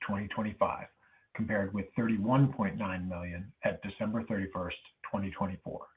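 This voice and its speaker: Male corporate presenter, formal prepared delivery, narrow telephone sound. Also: fairly low pitch. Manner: formal, reading prepared remarks. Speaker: company finance executive. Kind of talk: scripted earnings call presentation. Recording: muffled narrow phone line.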